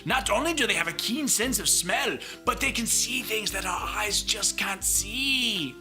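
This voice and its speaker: A man voicing a kindly, jovial male ship captain guiding a child, grand and warm, in a children's storybook. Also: sits high, lively intonation, unhurried, soft background music, heavily acted. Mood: fond, amused. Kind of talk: children's storybook read-aloud.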